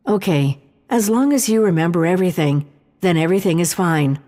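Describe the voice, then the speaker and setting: A mellow female artificial intelligence acted by a woman speaks inside a long, hall-like reverb. She is calm and precise, laying out analysis in measured, formal sentences, as in a sci-fi audio drama. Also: on the low side, close to an everyday voice. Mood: calm, helpful.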